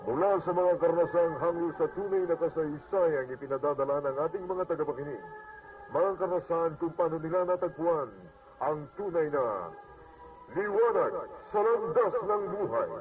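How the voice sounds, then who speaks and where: A man's voice: a little high, expressive, moderate pace. A Filipino man hosting a radio program, reading a scripted introduction to a drama. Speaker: radio program host-narrator. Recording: distant, phone-like AM radio playback. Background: music.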